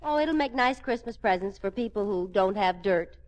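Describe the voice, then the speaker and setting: An actress playing a wry, scheming young wife in a 1940s radio comedy. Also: female voice, mid-range voice, animated, normal speed, lightly acted. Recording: noisy old radio, loud studio audience.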